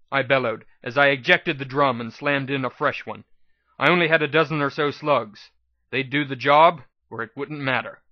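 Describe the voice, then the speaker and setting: A man's voice: mid-range voice, no room sound, highs cut off. A man giving a dramatic first-person scripted narration as the reader of a fan fiction audiobook.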